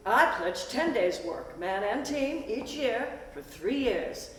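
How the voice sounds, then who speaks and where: A woman's voice: a little high, expressive, slow pace. Woman playing plain-spoken villager, distant and echoey. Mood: proud, declarative. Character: plain-spoken rural townsfolk pledging to help. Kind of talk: scripted stage play recitation. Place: stage performance at a festival. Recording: echoey hall, distant mic, noisy.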